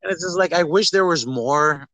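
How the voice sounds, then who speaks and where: A man's voice: fairly high pitch, normal speed, a bit bright, dry recording, highs cut off. A man co-hosting a podcast, talking casually about films in a rambling way and acting out quoted lines. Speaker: podcast co-host. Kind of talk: casual movie discussion.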